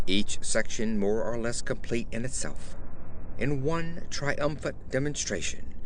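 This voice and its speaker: A man reading a horror story as an audiobook narrator, with a measured, literary and theatrical delivery. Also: medium pitch, a bit bright, slight background noise.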